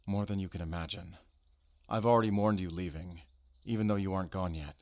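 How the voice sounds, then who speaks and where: A man playing a brooding, guarded werewolf hunter in an audio roleplay, speaking in a solemn, halting, intimate way to the listener. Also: low voice, darkish tone. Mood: torn, pained. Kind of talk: cinematic audio roleplay acting.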